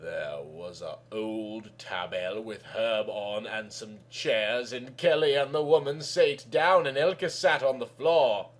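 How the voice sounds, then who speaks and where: A man's voice: on the low side, takes it slowly, few pauses. A man narrating a storybook tale in a naive, childlike manner, with mangled words.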